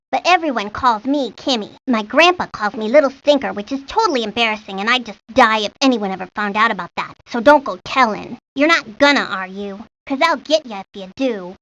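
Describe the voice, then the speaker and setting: A woman's voice: high-pitched, bright, forceful tone, heavily acted. A woman voicing a feisty, chatty tomboy of a little girl in a children's cartoon game. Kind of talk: cartoon game character lines. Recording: dull lo-fi old game audio.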